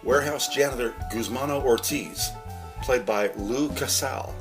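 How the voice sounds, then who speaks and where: A man reading scripted descriptive narration in a measured, announcer-like way. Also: mid-range voice. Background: background music.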